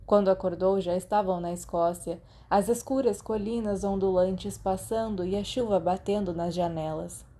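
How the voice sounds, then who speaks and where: A woman's voice: mid-range voice, steady tone, moderate pace, hardly any pauses, dark tone. A woman with a Brazilian accent reading scripted fiction as an audiobook narrator.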